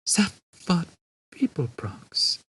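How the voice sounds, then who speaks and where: A man voicing a bewildered, agitated male space traveller in an audiobook, halting and incredulous. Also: fairly bright tone. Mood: stunned. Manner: high-pitched, halting, incredulous. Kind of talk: audiobook character voice.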